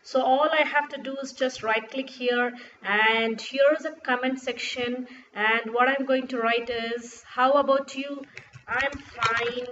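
A woman whose first language is Urdu, walking through a screen-recorded software tutorial step by step with fillers and restarts. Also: on the high side, steady tone, unhurried. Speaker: tutorial presenter. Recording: dull lo-fi sound with faint noise.

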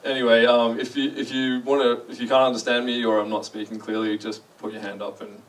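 A man's voice: mid-range voice, flat delivery, a little fast, recorded from a distance, rough recording. A young man with an Australian accent, giving a chatty, self-deprecating conference talk and asking the audience questions. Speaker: conference speaker. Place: large dark lecture hall.

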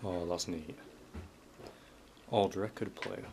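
A very low-pitched man talks casually as he explores, dropping understated, murmured remarks like a vlogger. The handheld camera audio carries clear noise and small clicks. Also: slow pace. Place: inside a derelict house. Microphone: handheld camera microphone.

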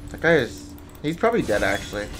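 A man's voice: medium pitch, very animated, quick pace, background noise. A young man who streams games, chatting casually with blunt, profane banter. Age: young adult. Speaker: game streamer. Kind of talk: casual gaming chat. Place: indoor room at a desk. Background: music, game.

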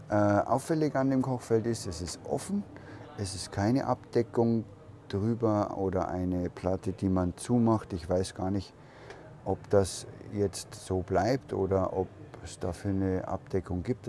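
A man giving a relaxed, unhurried walkaround tour of a camper van, thinking aloud as he goes, recorded inside the van at a trade fair hall. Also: low-pitched, a little hiss or hum.

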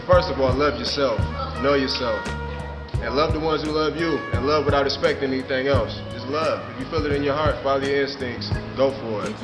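Young man, calm advice, mid voice, roomy echo, long reverb, music behind. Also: medium pitch. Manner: calm, advising, casual. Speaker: passerby interviewed on the street. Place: outdoors by a building entrance.

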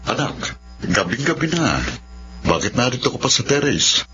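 An actor playing a caring, gently concerned middle-aged father in a Filipino radio drama. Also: mid-range voice, very animated, moderate pace, faint music behind. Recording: dull radio drama, slight noise.

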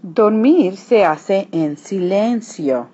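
A woman reading a children's picture book aloud, switching between hushed and emphatic delivery. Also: medium pitch, animated, extremely slow. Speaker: read-aloud narrator. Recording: dull lo-fi recording, clear background noise.

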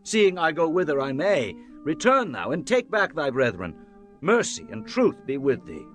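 A man's voice: on the high side, huge pitch swings, moderate pace, fairly bright tone, faint music behind. An actor playing a troubled, commanding king in a dramatized Bible recording, solemn, impassioned and declamatory. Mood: solemn, concerned. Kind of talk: dramatized Bible audio acting.

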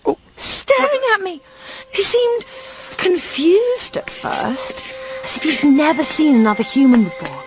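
A woman telling a story dramatically, with drawn-out awe. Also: sits high, sing-song, music in the background, rough recording.

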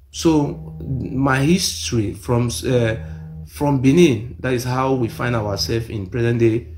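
A middle-aged Nigerian man with a Nigerian accent, speaking emphatically to a phone camera in a small room, repeating his claims insistently. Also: mid-range voice. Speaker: self-made video speaker. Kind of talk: impassioned monologue to camera. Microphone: phone or webcam close to face.

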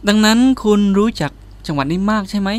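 A man's voice: sits high, rapid-fire, lightly acted. A man playing a shy, polite young photographer, a native Thai speaker, in a radio drama. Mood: polite, asking.

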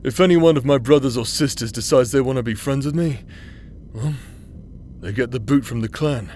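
A man's voice: mid-range voice, a little fast, a little mellow, a little hiss or hum, close to an everyday voice. A man acting in an intimate audio roleplay as a teasing, roguish outcast brother who plays the villain but is secretly tender, with a hesitant, chuckling drawl. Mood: confiding, earnest. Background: music.

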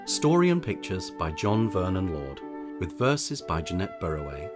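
A man narrating a children's storybook in verse, with theatrical, measured delivery. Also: sits low, very animated, over music. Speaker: audiobook narrator.

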